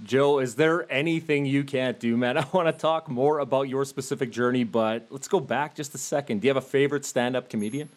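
A man's voice: mid-range voice, brisk, few pauses. A man hosting a podcast interview, asking casual questions in a friendly way. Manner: friendly, laughs along with his guest. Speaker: podcast host.

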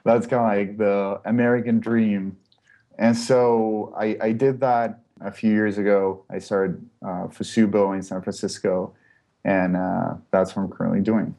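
A man's voice: on the low side, level tone, a little slow, creaky, warm tone. A man from Chile, a startup co-founder, answering interview questions in a hesitant, casual way with many fillers and restarts.

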